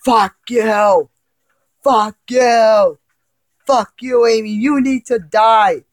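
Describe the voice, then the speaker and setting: Demonic hedgehog played by a male storyteller, menacing, threatening, foul-mouthed, slow, creepypasta villain, dry sound. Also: high-pitched, steady tone, no room sound, pitched above the performer's own voice. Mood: furious. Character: menacing demonic hedgehog from a haunted video game.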